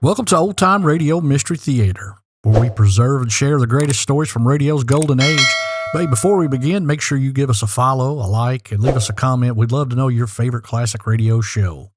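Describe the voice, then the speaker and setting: A man's voice: mid-range voice, fairly quick, a little hiss or hum. A man hosting a show with scripted narration. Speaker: show host.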